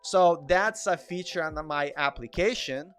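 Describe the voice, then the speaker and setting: A man's voice: on the high side, measured pace, fairly bright tone, faint music behind. A man from Russia with a slight Russian accent, hosting a casual live Q&A and chatting with viewers. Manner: chatty, reads out chat comments, repeats himself. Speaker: livestream host, developer.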